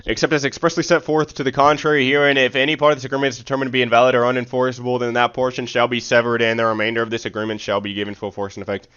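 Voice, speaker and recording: A man's voice: mid-range voice, little pitch movement, racing, hardly any pauses, a bit bright, clean tone. A man livestreaming, reading formal text aloud and slipping into slangy, casual speech, stumbling over words and correcting himself, on slightly dull audio. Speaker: live streamer.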